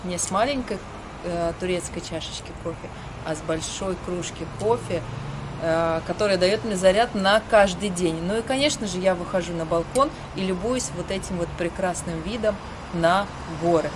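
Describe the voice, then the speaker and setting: A middle-aged woman host presenting in a measured, friendly way, standing outdoors on a balcony and a street, filmed from a few steps away. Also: fairly low pitch. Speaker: show host. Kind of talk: scripted presenting. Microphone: camera at medium distance. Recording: outdoor street noise behind.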